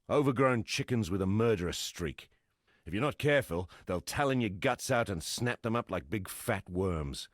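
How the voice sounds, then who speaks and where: An actor playing a gruff, weary exile fighter in a fantasy video game, a plain-spoken, wry camp leader with a gravelly voice. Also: male voice, deep voice, no room sound, studio quality, exaggerated voice. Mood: grim warning. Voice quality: gravelly, husky. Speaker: non-player quest character.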